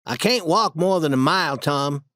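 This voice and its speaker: A reader playing a timid, superstitious boy, somewhat raised in pitch, hesitant and nervous with small stumbles. The sound is dry and close in a storybook reading. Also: animated, moderate pace, a bit bright, no room sound, natural voice.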